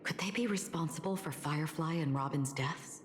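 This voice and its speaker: An actress playing a cool, watchful companion in a video game, speaking in a serious, understated way. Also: female voice, sits low, moderate pace, slight background noise, lightly acted. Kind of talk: scripted video game acting.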